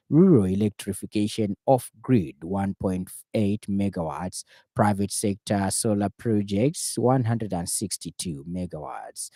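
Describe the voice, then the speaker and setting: A man with a Zambian accent reading a scripted radio news bulletin in a formal, measured manner. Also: fairly low pitch, warm tone, no echo. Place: radio studio.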